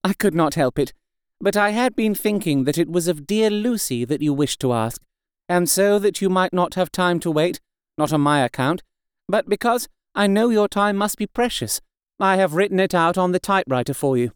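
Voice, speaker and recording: A man voicing a demure young married woman in an audiobook, speaking modestly, gently and politely. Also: high-pitched, expressive, moderate pace, clear, resonant tone, no room sound, clean studio sound.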